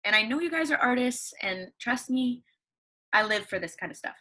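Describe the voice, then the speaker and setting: A woman's voice: a little high, expressive, moderate pace, sharp tone. A young woman teaching young students from home over her computer, upbeat, asking questions and answering them herself. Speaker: elementary school teacher. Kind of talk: read-along lesson for young students at home. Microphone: computer microphone.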